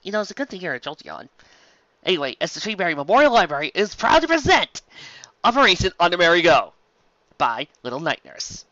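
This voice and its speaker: A woman hosting and narrating a fan story, in an animated style. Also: fairly low pitch, brisk, no echo, lo-fi sound. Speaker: host and story reader.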